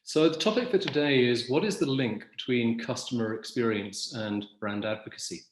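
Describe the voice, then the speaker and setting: A man hosts a business webinar over a video call, presenting in a measured, articulate way. His voice sits at an ordinary middle pitch. The room gives it a noticeable echo. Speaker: webinar host.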